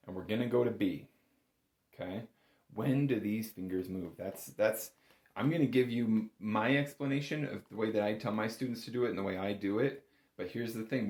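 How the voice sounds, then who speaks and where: Low-voiced man teaching in an echoey room. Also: darkish tone. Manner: hedging, self-correcting, emphatic on key words. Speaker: bagpipe instructor. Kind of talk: informal instructional talk. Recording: roomy, echoing room sound.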